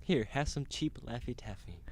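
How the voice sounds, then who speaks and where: A man's voice: mid-range voice, expressive, normal speed, no room sound, voice pushed down, close to an everyday voice. A man voicing a grumpy, unwelcoming householder in a spooky tale, curt and dismissive. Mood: condescending.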